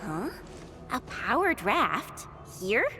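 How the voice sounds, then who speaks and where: Game heroine, young, wry adventurer; high, very animated delivery over noisy ambience. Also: female voice, slow pace. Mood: curious, surprised. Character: informal, outspoken young woman adventurer, curious and wry. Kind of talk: scripted video game voice acting.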